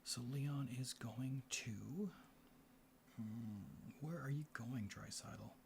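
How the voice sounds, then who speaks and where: Male ASMR host for tabletop play-by-play: mid-pitched, soft, often whispered, murmured and unhurried, with noise in the background. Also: creaky. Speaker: host of a board game ASMR show. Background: room ambience, board game handling sounds.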